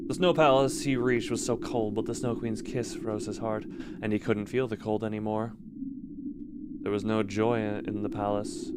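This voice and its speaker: A man's voice: fairly low pitch, very noisy. A man streaming casually, moving between reading stories aloud and talking to his live chat. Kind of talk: casual livestream commentary with read-aloud story passages.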